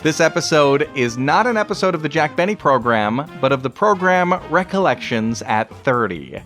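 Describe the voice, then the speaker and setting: A male host delivers a scripted podcast introduction. His voice sits at a middle pitch, and guitar music plays behind him. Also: fairly bright tone.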